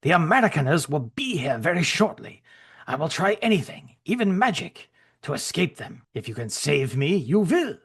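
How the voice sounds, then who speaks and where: A man's voice: fairly high pitch, sing-song, normal speed, slightly rough, pitched above the performer's own voice, full character voice. A man voicing a ruthless male German soldier with a mock German accent, barking threats. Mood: menacing.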